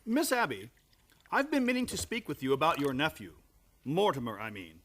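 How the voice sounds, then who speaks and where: A man's voice: on the high side, huge pitch swings, moderate pace, a bit bright, exaggerated voice. A man playing a prim, courteous, disapproving minister in a radio play, formal and fussy. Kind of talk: stage-style radio play acting.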